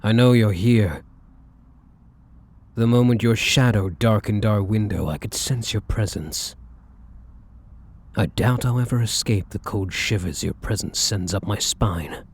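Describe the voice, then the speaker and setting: Man acting slow, husky brooding vampire. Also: fairly low pitch. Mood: cold, guarded. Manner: slow, theatrical, formal phrasing. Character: defiant, protective young male vampire, bitter and menacing. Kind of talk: ASMR audio roleplay acting.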